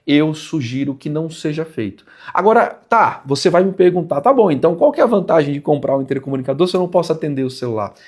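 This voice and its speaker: A man with a Brazilian accent and a medium-pitched voice speaks as a vlog presenter. He explains point by point in a conversational, didactic way, leaning on key words for emphasis. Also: a little mellow. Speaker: presenter of a motorcycle channel. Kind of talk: explanatory vlog talk to viewers.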